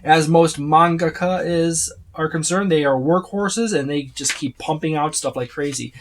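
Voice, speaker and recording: Video host, casual unscripted overview, medium pitch, rambling explanations, informal asides. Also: moderate pace. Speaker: host of tabletop gaming video channel.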